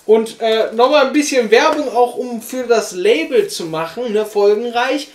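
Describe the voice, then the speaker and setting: A man's voice: very high-pitched, moderate pace. A young man hosting a review vlog, laid-back and colloquial, openly enthusiastic. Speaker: host, reviewer. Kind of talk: casual vlog chat. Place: small room on a sofa. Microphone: camera across the room. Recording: echoey small room.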